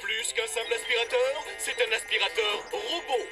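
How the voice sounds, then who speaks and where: A man's voice: very high voice, moderate pace. A man voicing an over-enthusiastic TV advert announcer selling a gadget in a cartoon. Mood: salesman enthusiasm. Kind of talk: cartoon parody of a TV commercial. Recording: slightly distant, music behind.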